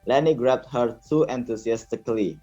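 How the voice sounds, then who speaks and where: A man's voice: mid-range voice, normal speed, dark tone. A young adult man from Indonesia reading a prepared book summary aloud as a student. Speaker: student reader. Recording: thin phone-like audio with background music. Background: music.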